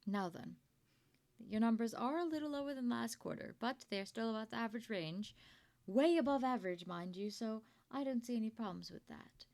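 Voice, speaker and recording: A woman playing a kindly, proud older company founder in an ASMR roleplay, chatty and doting, with a creaky voice. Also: a little high, moderate pace, lightly acted. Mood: pleased, businesslike. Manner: gentle, chatty, doting, with throat-clearing and rambling asides. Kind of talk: ASMR roleplay monologue to the listener.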